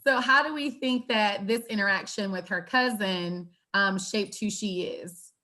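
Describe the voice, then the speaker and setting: A woman's voice: medium pitch, unhurried, bright, forceful tone. A woman leading a book club discussion on a video call, candid and laughing easily. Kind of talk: casual group discussion.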